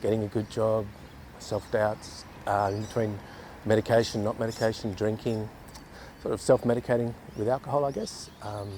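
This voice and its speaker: Aussie bloke, dark mellow voice, thinking out loud, faint hiss behind. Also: male voice, on the low side, flat delivery, normal speed. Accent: Australian. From Australia. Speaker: interviewee. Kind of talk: documentary interview.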